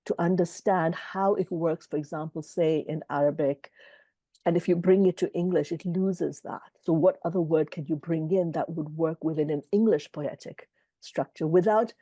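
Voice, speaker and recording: A woman's voice: sits low, no room sound. A middle-aged woman publisher answering interview questions over a video call, thoughtful and earnest. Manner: thoughtful, earnest, warmly reflective.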